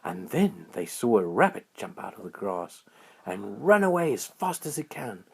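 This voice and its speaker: A man narrating a children's bedtime story in a dramatic, drawn-out storytelling manner. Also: fairly low pitch, sing-song, vocal fry, slight background noise.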